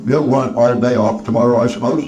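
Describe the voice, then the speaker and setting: A man's voice: medium pitch, fairly even intonation, fairly quick, muffled recording, natural voice. A man voicing a sour, miserly old businessman in a storybook, curt, stern and sneering. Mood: grudging, irritated.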